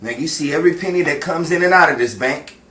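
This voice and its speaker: An actor playing a scheming, smooth-talking young hoodlum who pushes his girlfriend, in 1950s radio crime drama style. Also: fairly high pitch, quick pace, a bit bright, natural voice. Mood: tense, pressing. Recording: roomy, distant mic with faint noise.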